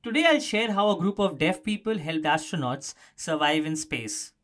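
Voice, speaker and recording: A man's voice: on the high side, moderate pace, a bit bright. A man presenting a scripted explanatory news story as a narrator.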